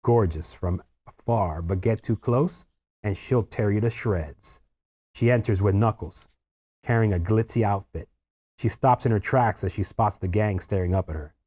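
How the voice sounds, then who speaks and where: A man's voice: fairly low pitch, moderate pace, dark tone. A man narrating the stage directions of a screenplay as a scripted read.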